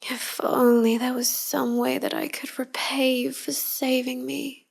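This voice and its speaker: A woman playing a tender, melancholy vampire wife in an intimate pillow-talk roleplay, speaking softly and often whispering. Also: sits high, little pitch movement, heavily acted. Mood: loving, wistful. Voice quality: soft, breathy, often whispering. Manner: slow, hushed, confiding. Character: tender, melancholy vampire wife devoted to her human lover.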